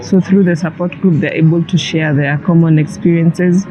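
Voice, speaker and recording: Mellow-voiced caseworker with frequent uhs. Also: mid-range voice, fairly even intonation, normal speed. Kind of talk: describing a client's support. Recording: dull audio with faint background noise.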